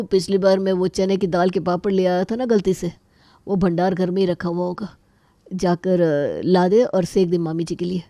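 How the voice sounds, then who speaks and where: A woman's voice: on the low side, dark tone, no room sound, natural voice. A woman voicing a particular, food-loving middle-aged man of the family, talking in a coaxing manner.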